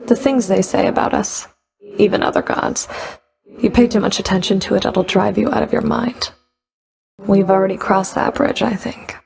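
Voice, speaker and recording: An actress playing a stern, sardonic underworld Fury overseer in a video game, curt and commanding, with a gravelly, creaky voice. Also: female voice, mid-range voice, fairly even intonation, moderate pace, a little mellow. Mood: dry, guarded. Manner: curt, dry, commanding, grudging.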